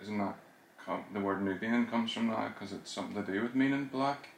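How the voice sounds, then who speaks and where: A man's voice: on the low side, steady tone, fairly quick, creaky. A man co-hosting a podcast, talking hesitantly with lots of fillers and tag questions. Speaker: podcast co-host. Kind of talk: casual chat. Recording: echoey room.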